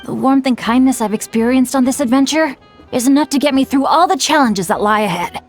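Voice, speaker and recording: An earnest young boy adventurer voiced by a woman for an anime game. The voice sits fairly high and sounds sincere and heartfelt, with music behind it. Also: a little fast, lightly acted. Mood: hopeful. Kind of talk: scripted anime game voice acting.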